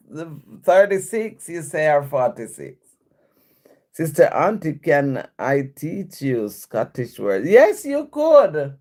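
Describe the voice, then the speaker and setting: Woman streamer, chatty, answers comments, unhurried delivery with lots of swing in pitch. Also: darkish tone. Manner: chatty, answers comments aloud, laughs often. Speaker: livestream host reading viewer comments. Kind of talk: casual live-stream chat.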